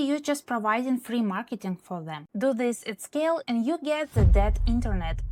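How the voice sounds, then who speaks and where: A woman's voice: on the high side, dry recording. A woman who is not a native English speaker, a social media consultant giving direct, emphatic advice in a scripted talk to camera.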